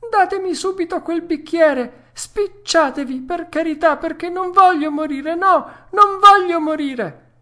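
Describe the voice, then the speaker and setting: A woman's voice: high voice, lively intonation, a little slow, pitched above the performer's own voice. A woman voicing a stubborn, whiny little wooden puppet boy in a storybook, childish and dramatic in manner. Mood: frightened, pleading. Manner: wailing, exclamatory, childish protesting. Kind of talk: storybook character voice.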